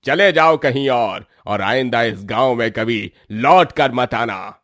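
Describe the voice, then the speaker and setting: A man's voice: medium pitch, takes it slowly, a bit bright, no room sound, clean studio sound. A man voicing a stern, indignant village headman with an authoritative manner in a dramatized moral story.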